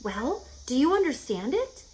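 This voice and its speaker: Woman playing an anxious, proper mother, animated. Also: high voice, a little slow, voice pushed up. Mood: nervous, puzzled. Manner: scolding, fretful, proper. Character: anxious, stern mother of a young girl. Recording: echoey room, faint background noise.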